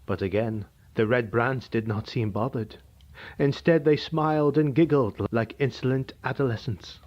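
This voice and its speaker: A man narrating a dark fantasy audiobook in a measured, dramatic way. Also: medium pitch. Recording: dull lo-fi recording.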